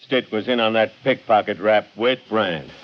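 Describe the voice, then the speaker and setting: A man's voice: lowish voice, moderate pace, natural voice. A man playing a loyal, eager police sergeant in old-time radio crime drama, slangy and blunt. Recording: dull vintage radio recording with noise.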